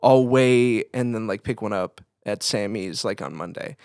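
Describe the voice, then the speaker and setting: A man's voice: on the low side, moderate pace, a little mellow, clear, resonant tone, no echo. Man in casual, dry-sounding podcast chat. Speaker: podcast co-host, musician.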